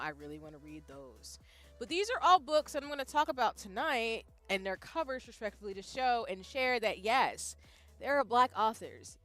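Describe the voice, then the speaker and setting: A young woman streamer talking casually and animatedly to her chat, jumping between loud bursts and soft asides, often poking fun at herself. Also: medium pitch. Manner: self-deprecating, reads out chat. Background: faint background music.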